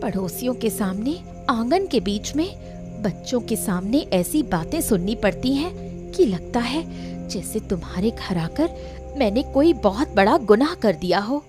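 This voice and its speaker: A woman voicing a long-suffering elder daughter-in-law in an animated story, wounded, resentful and plaintive. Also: a little high, a little slow, over music. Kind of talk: melodramatic animated story acting.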